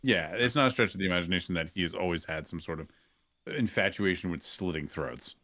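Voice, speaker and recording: A man's voice: low-pitched, quick pace, sharp tone, dry recording. A man talking casually as a guest on a gaming podcast. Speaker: podcast guest and roleplay streamer.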